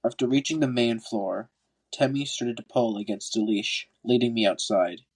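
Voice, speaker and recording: A man's voice: medium pitch, normal speed, husky, poor audio. A man with a stuffy, congested nose narrates an amateur audiobook as a podcast host, now and then stumbling and rereading. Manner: stumbles over words and rereads lines. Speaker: podcast host reading a book aloud.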